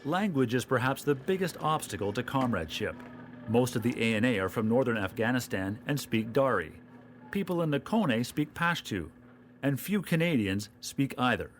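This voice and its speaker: A man giving calm, scripted documentary narration. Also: medium pitch, moderate pace, slight background noise.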